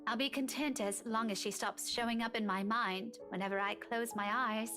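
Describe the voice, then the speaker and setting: A gentle young woman from a visual novel, played by an actress, worn down and wistful. Her voice sits fairly high and she talks conversationally, with music playing clearly behind her. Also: fairly even intonation, normal speed, natural voice. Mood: weary, wistful. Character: weary, gentle young woman confiding in a friend.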